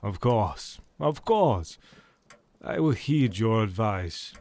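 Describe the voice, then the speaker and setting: An actor playing a thoughtful, uneasy older professor who speaks in a measured way. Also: male voice, on the low side, animated, a little mellow.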